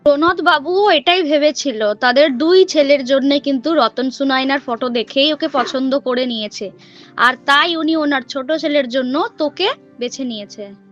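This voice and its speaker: A woman's voice: high-pitched, normal speed, fairly bright tone, lo-fi sound, faint music behind. A woman voicing a firm, fretful village mother protecting her daughters in a cartoon. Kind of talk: cartoon voice acting.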